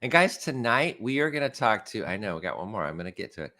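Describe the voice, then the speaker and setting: Deep-voiced man, tired morning rasp. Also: sing-song, fast talker, sharp tone. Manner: joking, self-deprecating, lots of laughs. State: a bit hoarse, tired morning voice. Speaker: podcast co-host. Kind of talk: casual podcast chat.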